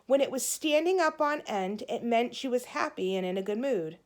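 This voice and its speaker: A woman's voice: a little high, animated, moderate pace, lightly acted. A woman voicing a moody, bossy young big sister in a children's storybook, with an emphatic, snappy delivery. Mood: explaining, emphatic. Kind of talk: children's storybook read-aloud.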